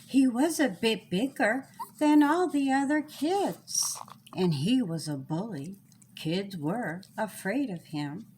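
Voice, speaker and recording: A woman with a fairly high voice reads a rhyming children's story. She takes her time, lilting through the lines and pausing for drama. There is some noise on the recording. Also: expressive, a little mellow. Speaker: author reading own children's story. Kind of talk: children's storybook read-aloud.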